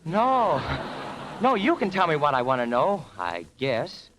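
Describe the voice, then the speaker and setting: A man's voice: a little high, sing-song, a little slow. An actor playing a brash, lovesick, slangy seventeen-year-old boy in a vintage radio comedy. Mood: confiding, worried. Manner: eager, cocky, slangy. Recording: noisy vintage radio recording.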